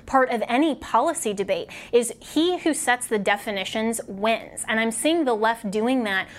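A woman's voice: on the high side, moderate pace. Earnest woman talk show host.

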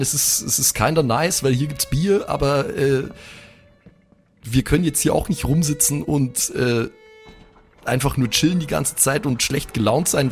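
A man's voice: mid-range voice, lightly acted. Man playing a rambling, slangy, laid-back guy. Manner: hesitant, rambling, English slang mixed in. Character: laid-back slangy young man, vaping, consoling his friend.

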